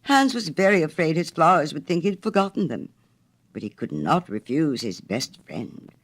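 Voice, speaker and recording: A woman's voice: low-pitched, sing-song, normal speed. A woman reading a literary story aloud in a theatrical manner, with dramatic phrasing. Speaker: storyteller, reader. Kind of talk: expressive literary storybook narration.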